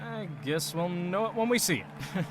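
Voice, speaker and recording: A man's voice: high voice, animated, moderate pace, a little hiss or hum, voice pushed up. A man voicing a wry, easygoing young man with a dry, questioning manner. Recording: background music. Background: music.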